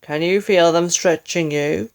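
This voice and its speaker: A woman voicing a mean, sly husband in a storybook, with mock-solemn, drawn-out menace. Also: lowish voice, clear, resonant tone. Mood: grimly bossy. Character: sly, mean husband feigning solemn concern, ghoulishly gleeful.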